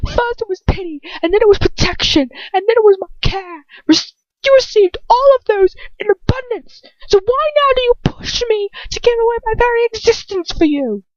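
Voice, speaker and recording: A woman plays a menacing, anguished man in a dramatic story reading. The voice is pitched up and intense, full of bitter contempt. The sound is dry and slightly dull, like a lo-fi recording. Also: expressive, moderate pace, few pauses, dry recording. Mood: desperate fury. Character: bitter, anguished, menacing adult male villain lover.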